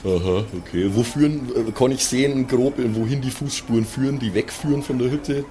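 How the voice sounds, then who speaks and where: A man's voice: mid-range voice, fairly quick, warm tone. A man, chatting casually as a player in an improvised role-playing game. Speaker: tabletop player.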